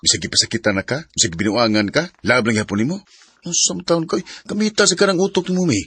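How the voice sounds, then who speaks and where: A man's voice: mid-range voice, expressive, fast, fairly bright tone, a little hiss or hum, lightly acted. A male actor with a Cebuano accent playing a protective, scolding young best friend in a Bisaya radio drama, speaking emphatically. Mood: scolding, caring. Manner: emphatic, admonishing, colloquial.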